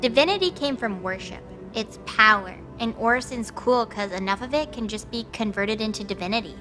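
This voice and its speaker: A woman's voice: on the high side, animated, fairly quick, sharp tone, faint music behind. A woman playing a brash, teasing, cocky goddess in an audio drama, flippant and slangy. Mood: smug. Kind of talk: acted audio drama dialogue.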